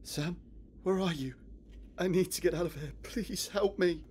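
A man voicing a panicky young man trapped underground in a horror game, halting, stammering and pleading. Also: high voice, a little mellow, slight background noise, lightly acted. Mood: distressed.

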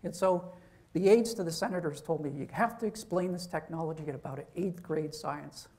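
A man's voice: on the high side, brisk, darkish tone. A man, a professor, giving an informal university lecture, talking conversationally and checking in with "okay?".

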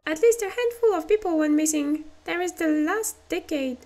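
A woman with a French accent voicing a chatty bearded male store clerk in a game with a high, squeaky put-on voice. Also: normal speed, darkish tone. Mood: warning.

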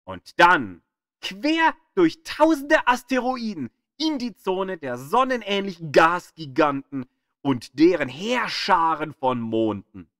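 A man reading scripted documentary narration as an audio guide, in a deliberate storytelling way with dramatic emphasis. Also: on the high side, fairly bright tone, no room sound, studio quality.

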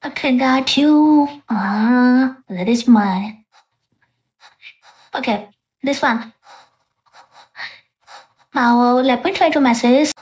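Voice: high-pitched, drawn-out words, long pauses. A person speaking as a young girl through an AI voice changer, casually testing a microphone. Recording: dull, processed voice-changer audio.

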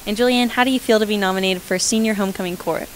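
High school girl with a mic asking quick questions in the hallway, bit of hiss. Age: teens. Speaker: student interviewer. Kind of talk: short school interviews. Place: school hallways and gym indoors. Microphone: handheld mic.

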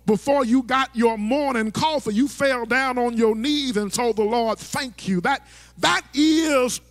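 A man's voice: very high voice, measured pace, soft background music. A middle-aged male pastor preaching from a church stage into a handheld mic, with rhythmic sing-song phrasing and stammering restarts. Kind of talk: sermon. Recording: long church hall reverb.

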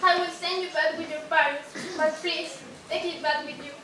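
A woman's voice: very high-pitched, fairly even intonation, moderate pace. A young woman acting a gracious, grateful young princess in a scripted puppet show. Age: young adult. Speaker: student puppeteer. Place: indoor room at a day care centre. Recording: distant echoey room, noisy with clicks.

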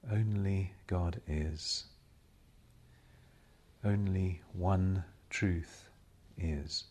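A man leading a guided meditation as a spiritual teacher, speaking in measured, solemn short phrases with long pauses between them. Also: low-pitched, darkish tone. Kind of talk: slow guided meditation talk.

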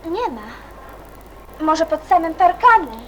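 A woman's voice: very high-pitched, very animated, slow, fairly bright tone, slight background noise, full character voice, low-quality recording. An actress playing a kind, earnest girl eager to help, in a Polish radio play. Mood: eager, helpful. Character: kind, earnest, helpful girl named Kasia.

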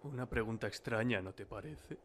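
A man acts out an unhinged, controlling warrior husband from a cartoon parody. The voice is sharp and menacing, slow, and apt to sink into breathy whispers. A faint noise sits behind it. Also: mid-range voice, natural voice. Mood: cold suspicion. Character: menacing, unhinged martial-arts hero husband, creepily controlling. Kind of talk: cartoon parody fandub acting.

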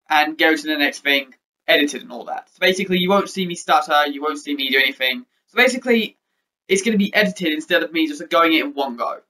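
A young man with a high voice chats to his webcam from his bedroom. He rambles, restarts and stutters now and then. His tone is bright and forceful. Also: low-quality recording. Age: young adult. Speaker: YouTuber. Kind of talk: casual vlog update. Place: bedroom with posters, indoors.

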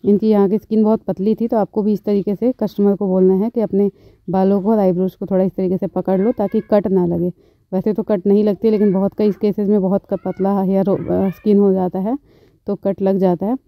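Woman beautician, calm step-by-step tutorial voice, dry dull phone-recorded audio. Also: medium pitch, fairly quick, no room sound. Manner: calm, instructive, repeats phrases. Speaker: beautician, channel host.

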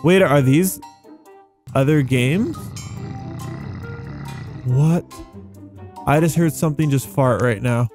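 A man's voice: mid-range voice, slow, pauses often, darkish tone. A young man hosting a gaming video with casual, rambling commentary, thinking aloud in slangy language. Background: background music.

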